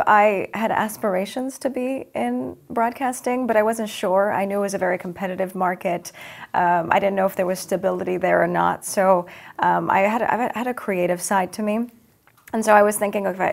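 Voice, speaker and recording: A woman's voice: medium pitch, gravelly. A young woman from Los Angeles whose first language is Farsi, a TV news anchor answering interview questions in an upbeat, chatty way with frequent ums.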